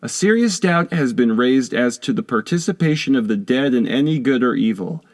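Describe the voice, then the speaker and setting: A man narrating a philosophy audiobook in a measured, formal, lecturing manner. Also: mid-range voice, expressive. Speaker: audiobook reader. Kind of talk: scripted narration of a philosophy text.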